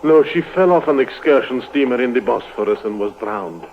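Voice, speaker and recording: Actor as fussy traveler, old muffled radio. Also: male voice, medium pitch, animated, normal speed, natural voice. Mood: solemn, mournful. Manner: formal, quaintly worded, insistent. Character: fussy, persistent, gloomily philosophical little Greek train passenger. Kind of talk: old-time radio drama acting. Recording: dull, noisy old radio recording.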